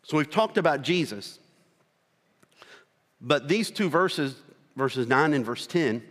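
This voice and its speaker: A man's voice: fairly high pitch, lively intonation, a bit bright. Earnest preacher, rural American man, reverberant. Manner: earnest, slow phrases with pauses, rising on key words. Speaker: missionary preacher. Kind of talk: sermon. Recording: long reverb of a large hall.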